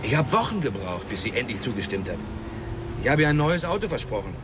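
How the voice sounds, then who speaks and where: A man's voice: medium pitch, sing-song, quick pace, lightly acted. A male actor playing a cocky, chummy, crude boxer cop with a casual, bantering delivery in a radio play. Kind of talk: German radio play dialogue. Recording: outdoor city traffic noise behind.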